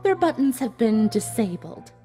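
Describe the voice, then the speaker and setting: A woman's voice: fairly high pitch, sing-song, normal speed, dark tone, soft background music. A woman voicing a coldly polite, smug pony villain in a dramatic fan fiction reading, gloating in formal, theatrical phrases. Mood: smug menace.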